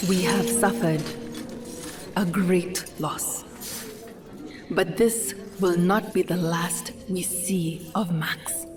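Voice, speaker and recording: An actress playing a resolute, grieving young activist in an animated motion comic, speaking in a measured, solemn way. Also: female voice, fairly low pitch. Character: grieving, resolute young female activist rallying her clans. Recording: music behind the voice.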